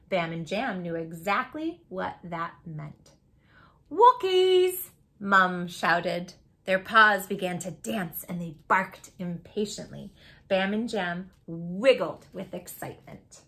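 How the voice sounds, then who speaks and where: Bright, encouraging woman reading to children. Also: on the low side, slow. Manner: affectionate, encouraging, addresses young listeners directly; expressive, emphatic delivery. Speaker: host reading children's picture books aloud. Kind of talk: children's read-aloud storytelling with friendly asides.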